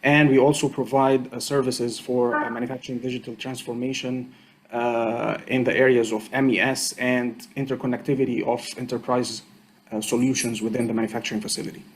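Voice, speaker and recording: Male panelist, mid-pitched, measured and explanatory, lots of fillers, video call. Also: flat delivery, a little hiss or hum. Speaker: panelist at an online conference. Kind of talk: conference panel talk.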